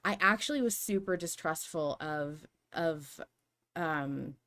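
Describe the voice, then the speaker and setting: A woman hosting a fan podcast, chatting casually in an animated, opinionated way with some swearing. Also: sits low, slow. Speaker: podcast host.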